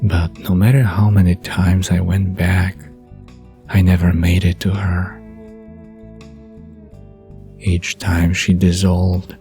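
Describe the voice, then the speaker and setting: A man playing a wistful, very deep, slow dreamer. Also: flat delivery, soft background music. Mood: dreamy, haunted. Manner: soft, murmuring, reflective. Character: wistful, dreamy man recalling a mysterious summer. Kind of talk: bedtime audio drama monologue.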